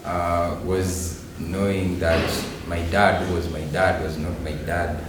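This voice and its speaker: A young man with a Kenyan accent, a student giving halting answers in an interview. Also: deep voice, level tone. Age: young adult. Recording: very echoey room, distant mic, noisy.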